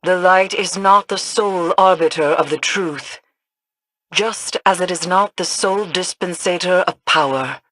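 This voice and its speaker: A woman playing a composed, stern, learned authority figure in a video game, measured and formal. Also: on the low side, a bit bright, dry recording. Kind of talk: video game character acting.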